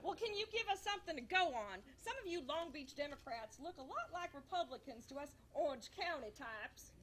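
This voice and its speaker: A woman acts out a bossy cowgirl in a stage radio comedy. The voice is extremely high and piercingly bright, spirited and commanding. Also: expressive. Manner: commanding, brisk. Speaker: stage performer in a benefit show. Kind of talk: live comedic radio-show acting.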